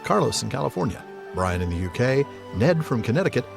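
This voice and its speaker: A man's voice: mid-range voice, very animated, a little fast, vocal fry, music in the background. A man co-hosting a podcast in a casual, jokey way, moving between banter and sign-off. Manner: jokey, laughs often while talking. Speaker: podcast co-host.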